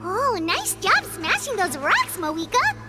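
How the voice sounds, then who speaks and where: A woman voicing a perky, admiring little girl companion in a fantasy video game, bubbly and gushing, over loud music. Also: very high voice, wildly swooping pitch, slow, full character voice. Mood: admiring, delighted.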